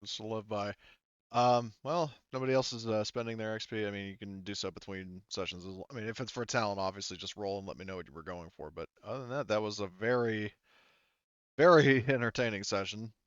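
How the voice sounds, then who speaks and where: A man's voice: lowish voice, brisk, fairly bright tone, smooth, no room sound, highs cut off. A man talking casually as game master at the wrap-up of a roleplaying session, swearing freely. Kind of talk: casual table talk wrapping up a roleplaying session.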